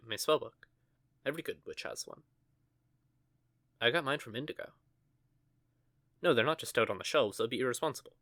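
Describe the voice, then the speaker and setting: A man's voice: medium pitch, a bit bright, no room sound, natural voice. Male actor as a nervous stuttering teen-ish witch; everyday mid voice, dead-dry close recording. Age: young adult. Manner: stammering, flustered. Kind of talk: audio roleplay acting.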